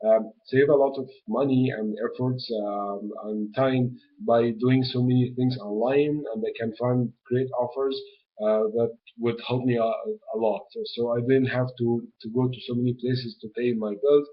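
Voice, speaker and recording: A young man from Egypt, a graduate student answering interview questions hesitantly, with lots of fillers and restarts. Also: medium pitch. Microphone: webcam mic. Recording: dull lo-fi webcam audio.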